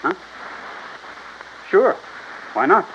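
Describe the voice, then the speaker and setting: A man's voice: high-pitched, very animated, drawn-out words, full character voice. An American man playing a pushy, insistent young rancher and fiancé in old-time radio drama style. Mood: guarded. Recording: dull, hissy vintage radio recording.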